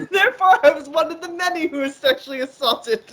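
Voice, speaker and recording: A woman with a slightly high voice talks loosely and at length. She curses freely, circles back on herself and keeps starting her sentences over. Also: brisk, fairly bright tone. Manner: rambling, profane, frequent fillers and restarts. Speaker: interview guest. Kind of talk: casual conversation.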